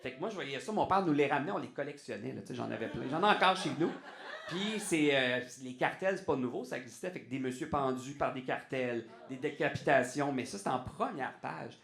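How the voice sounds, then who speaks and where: A man with a Québécois French accent, a guest on a podcast, talking in an animated way and restarting his sentences often. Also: medium pitch, a little fast, vocal fry, roomy sound. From Quebec. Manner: animated, restarts often, says "tu sais" a lot. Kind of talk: casual podcast interview.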